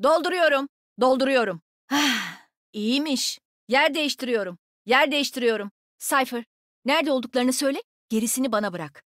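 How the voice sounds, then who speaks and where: Casting: nimble young female game agent. Bright-spirited taunter, very animated delivery, sassy and sure of herself, dry close studio sound. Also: high-pitched, moderate pace, no echo. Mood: playful, bossy. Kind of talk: video game combat voicelines.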